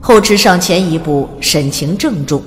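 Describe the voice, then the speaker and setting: A woman narrates a fantasy audio drama in a low, expressive voice with wide swings of intonation. Her delivery is scripted and dramatic. There is long reverb on the voice. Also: a little slow, a little hiss or hum. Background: faint music.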